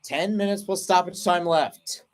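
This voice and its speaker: A man doing radio play-by-play for a soccer match, talking steadily through the passing and breaking off to explain tactics, then jumping up in pitch when a chance opens. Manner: brisk flowing call, rising sharply on chances; self-correcting, explains tactics between plays. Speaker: play-by-play commentator.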